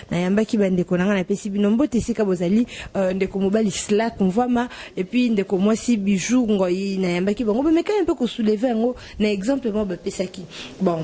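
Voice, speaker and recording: Woman, interviewer, mellow warm voice, conversational, hesitant, faint background noise. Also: mid-range voice. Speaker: interviewer, host. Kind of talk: interview questions.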